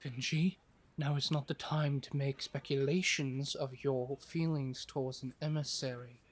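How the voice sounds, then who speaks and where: A man acting a measured, cryptic doctor in a fantasy audio drama, with deliberate, formal speech. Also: mid-range voice, fairly even intonation, close to an everyday voice. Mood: guarded, formal.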